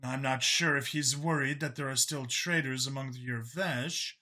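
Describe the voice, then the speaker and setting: Man voicing a mild, unhurried female alien officer. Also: mid-range voice, fairly even intonation, bright, forceful tone. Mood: uncertain. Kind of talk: audiobook character dialogue.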